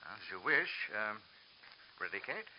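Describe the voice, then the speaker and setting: A man's voice: lowish voice, big pitch swings, unhurried. A man playing a menacing, silky nightclub boss who slides from coaxing politeness into bullying threats, in vintage radio drama style. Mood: smooth, veiled menace. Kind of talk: 1950s radio drama acting. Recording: muffled, hissy old radio recording.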